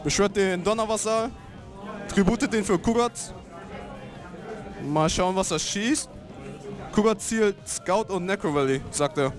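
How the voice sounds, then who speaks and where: A man's voice: high-pitched, a little slow, pauses often, music in the background. A young man, commentating a card game tournament in a laid-back, slangy way.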